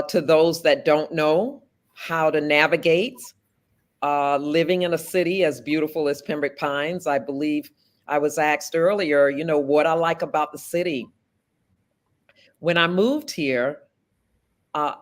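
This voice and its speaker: A woman in her fifties from South Florida, a political candidate answering interview questions in an earnest, deliberate way with measured pauses. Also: low voice. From South Florida, USA.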